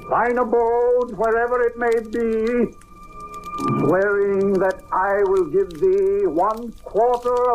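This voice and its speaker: An actor playing a grave occult doctor in an old-time radio mystery, speaking formally and theatrically with a husky voice. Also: mid-range voice, poor audio. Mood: commanding, incantatory. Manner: formal, measured, ominous, theatrical delivery. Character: grave occult doctor explaining and exorcising a malevolent spirit.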